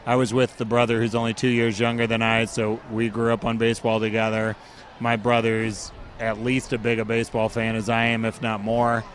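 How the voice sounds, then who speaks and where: Hoarse enthusiastic man, middle pitch. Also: fairly quick, slight background noise. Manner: animated, enthusiastic storytelling. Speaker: guest. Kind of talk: casual interview.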